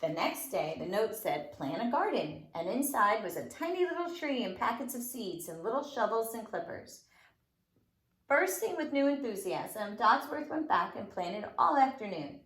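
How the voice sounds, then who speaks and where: A woman's voice: a little high, very animated, normal speed, a bit bright. A woman reading a storybook aloud to young children as a teacher, expressively and with dramatic emphasis. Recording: roomy, echoing room sound.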